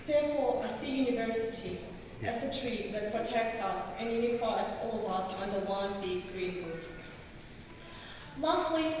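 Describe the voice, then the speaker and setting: Young woman, non-native English accent, higher-pitched voice, formal careful ceremonial speech, heavy hall reverb. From outside Australia. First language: not English. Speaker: student speaker at a graduation. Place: large graduation hall. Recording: echoey hall, distant mic, noisy.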